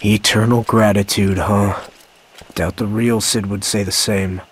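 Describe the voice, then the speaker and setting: An actor playing a skeptical, stubborn warrior hero, blunt and wry, in a video game cutscene. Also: male voice, lowish voice, fairly even intonation, a little slow, a little hiss or hum, natural voice. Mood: wry doubt.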